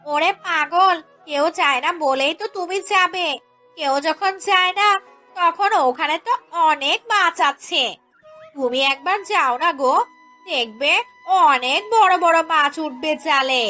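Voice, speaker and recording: Woman doing scolding village wife, cartoon, very high pitch, piercing bright tone, bossy, faint music behind. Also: a little slow. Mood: insistent. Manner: shrill scolding and insisting. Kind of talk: cartoon fairy tale character dialogue.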